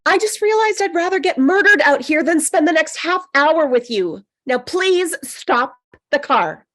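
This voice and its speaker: A woman's voice: high voice, animated, unhurried, fairly bright tone. A woman in a comedic table read, playing a miserable, exasperated, self-pitying single woman in a plaintive, natural way. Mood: fed up.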